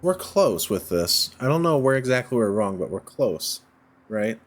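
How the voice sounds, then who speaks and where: A man giving casual gameplay commentary, thinking out loud in short, halting phrases. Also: medium pitch, big pitch swings. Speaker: let's play host. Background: music.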